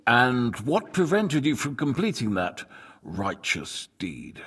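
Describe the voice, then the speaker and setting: An actor in a video game cutscene playing a courteous, cautious lord of a medieval fortress, formal and guarded, with a husky voice. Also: male voice, medium pitch, very animated, slow, a bit bright, heavily acted. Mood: stern, questioning.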